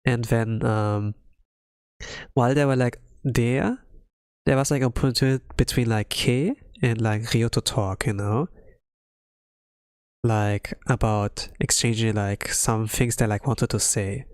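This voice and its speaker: Rambling, halting male streamer. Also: medium pitch, steady tone, clean tone, no echo, clean studio sound. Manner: rambling, halting, heavy use of filler "like". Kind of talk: casual readalong commentary on a game story. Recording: music under the voice. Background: game music.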